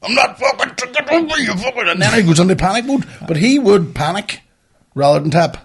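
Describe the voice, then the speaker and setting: A man's voice: high-pitched, animated, fairly quick, fairly bright tone, husky. A man in his thirties with a Northern Irish accent, chatting casually and swearing freely in a blunt, emphatic way as a podcast host. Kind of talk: casual banter, animated storytelling.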